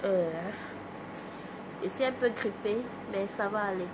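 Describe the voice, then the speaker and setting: A woman from Cameroon with a cold, hosting a casual livestream, greeting viewers by name and chatting in a halting way. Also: medium pitch, background noise. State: has a cold. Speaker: livestream host, artist. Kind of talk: casual live chat with viewers.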